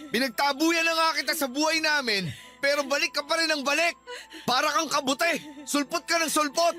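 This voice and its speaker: Cornered cheating husband in a radio drama, defensive, voice way up high n sharp. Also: male voice, moderate pace, soft background music, heavily acted. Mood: defensive, angry. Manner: defensive, agitated. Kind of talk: Tagalog radio drama acting.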